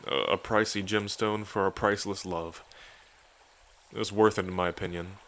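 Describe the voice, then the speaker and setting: A man's voice: low voice, fairly even intonation, normal speed, a bit bright. A man playing an affectionate dragon king in an intimate ASMR roleplay, speaking in a casual, tender way to a lover. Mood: tender, adoring. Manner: tender, casual, hesitant when moved.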